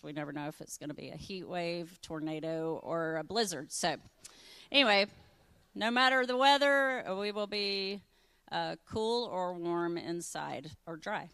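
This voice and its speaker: A woman's voice: on the low side, fairly bright tone, clear, resonant tone. A woman giving chatty church announcements, with many fillers.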